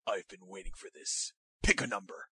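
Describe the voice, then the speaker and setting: A performer voicing a menacing young male ninja with a low, deadpan delivery in a cartoon parody. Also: moderate pace, heavy background noise, natural voice. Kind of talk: fan-dub parody cartoon acting.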